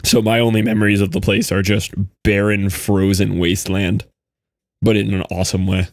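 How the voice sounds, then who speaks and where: Chatty low-voiced young man streaming. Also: little pitch movement, moderate pace, no echo, clean studio sound. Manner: chatty, reading out and answering chat. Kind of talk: casual live-stream chat.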